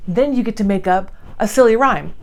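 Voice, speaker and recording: A woman presenting a children's read-along, speaking in an animated way straight to young viewers. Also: mid-range voice, a little fast. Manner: animated, addressing children directly.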